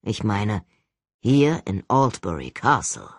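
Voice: mid-range voice, sing-song, takes it slowly, no echo, studio quality, voice pushed down, heavily acted. An audiobook reader voicing a stern, arrogant older male agency director, speaking in an expressionless, formal and condescending way. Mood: curt, cool. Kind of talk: audiobook character acting.

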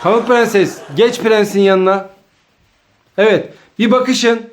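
Man, high voice, sketch director, casual commands, playful, bossy, echoey room. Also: fairly even intonation, takes it slowly. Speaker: director of improvised comedy sketch. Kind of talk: giving stage directions, casual. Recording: echoey room with faint noise.

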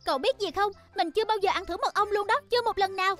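Tiny, very high-voiced fox girl. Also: fast, a bit bright, soft background music. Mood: sweet, curious. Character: sweet, polite little fox girl in a cartoon. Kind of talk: animated children's cartoon dubbing.

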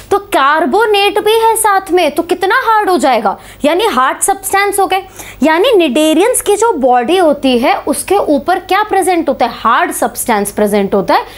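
A high-pitched woman in her thirties gives an online biology lecture in a small studio. Her voice is a touch hoarse from a sore throat, and she keeps throwing questions at her students and nudging them to answer. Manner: animated, asks students questions, calls them beta. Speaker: biology teacher. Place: small indoor studio before a slide board.

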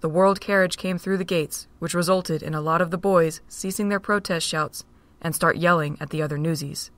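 A woman narrating a fan-made audio drama in a steady, plain reading voice. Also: lowish voice, normal speed, no room sound.

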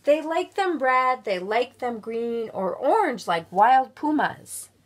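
A middle-aged woman reading a children's picture book aloud at home with expressive, drawn-out storytelling delivery. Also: on the high side. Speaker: volunteer guest story reader. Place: small room at home.

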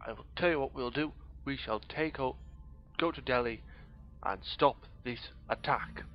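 A hoarse man, casually commentating a strategy game in a rambling, mock-grand way. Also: mid-range voice, moderate pace, dull audio, faint music behind. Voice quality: rambling, self-correcting, mock-grand phrasing. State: hoarse, losing his voice. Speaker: let's play gamer.

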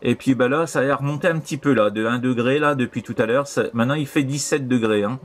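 A man's voice: mid-range voice, fast. French man chatting on amateur radio. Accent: French, from France. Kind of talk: casual radio contact chat. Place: voice over CB shortwave radio link. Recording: radio transceiver audio.

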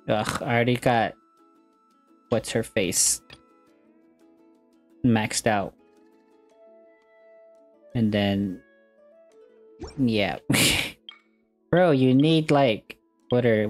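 Young male streamer, slow casual muttering. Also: mid-range voice, a little mellow. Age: young adult. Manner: slangy, muttering reactions. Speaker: gaming streamer. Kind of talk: casual gameplay commentary. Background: game music.

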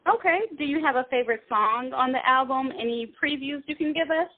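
A woman's voice: sits high, a little fast. A woman hosting a magazine interview, asking short upbeat questions. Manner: upbeat, brief questions and backchannels. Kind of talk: phone interview. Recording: tinny phone line.

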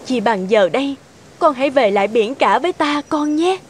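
A woman's voice: high voice, lively intonation, normal speed, a little hiss or hum. A woman voicing a regal, motherly sea goddess in a cartoon fairy tale, solemn and lamenting. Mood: solemn, caring.

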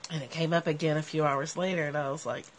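Middle-aged woman, nasal with a cold, casual animated livestream host on a porch, phone mic. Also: low-pitched, fast talker. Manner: animated, gestures a lot while talking. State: has a cold. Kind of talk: casual reflective talk to viewers. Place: covered outdoor porch. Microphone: phone at arm's length.

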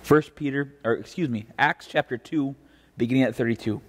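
A young American man speaks as a pastor giving a devotion. His delivery is earnest and measured, with deliberate phrasing and a mid-pitched voice. The recording carries the long reverb of a church interior. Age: young adult. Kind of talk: devotional preaching with scripture reading. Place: indoor church chancel. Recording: reverberant church, mic at a distance.

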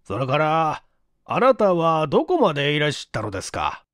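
A weary, probing police chief in a detective story, acted by a male reader. His questions come in a high, clear voice with swooping intonation. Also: huge pitch swings, a little fast, a bit bright, dry recording, studio quality. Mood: languid, probing.

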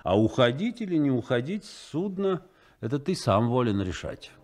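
A man's voice: mid-range voice, sing-song, moderate pace, natural voice. An actor playing a principled, fatherly ship's political officer in a 1970s Soviet radio play, formal and authoritative. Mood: firm, probing.

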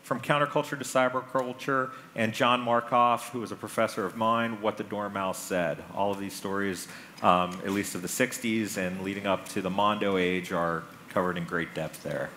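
A man's voice: fairly low pitch, steady tone, moderate pace, sharp tone. A man in his forties with an American accent, giving a public talk as a conference speaker on a large indoor stage. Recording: stage mic with clear background noise.

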